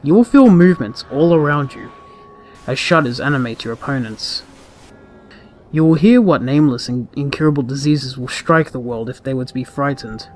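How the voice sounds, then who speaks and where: A man reading a scripted horror story aloud in a deliberate, ominous, dramatic manner. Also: mid-range voice, slight background noise. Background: background music.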